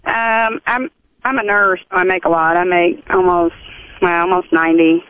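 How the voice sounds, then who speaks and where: A woman's voice: on the low side, normal speed. Female phone-in caller, hesitant and rambling, casual tone, narrow telephone sound with no room echo. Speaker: radio show caller. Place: phone call to a radio show. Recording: narrow, tinny phone line.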